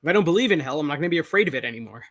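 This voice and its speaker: A young man calling in to a live show, talking in a halting way full of restarts and self-corrections. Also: fairly high pitch, lively intonation, a bit bright, no echo, studio quality. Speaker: caller. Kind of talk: casual call-in conversation. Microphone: call-in over a video call.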